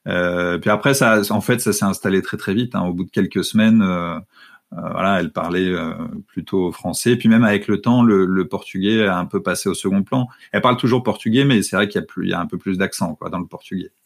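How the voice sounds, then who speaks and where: A man in his thirties with a lowish voice answers interview questions in a relaxed, conversational way. He talks haltingly, with frequent hesitations and restarts as he thinks aloud. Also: creaky. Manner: hesitant, many fillers and restarts. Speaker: interview guest, father.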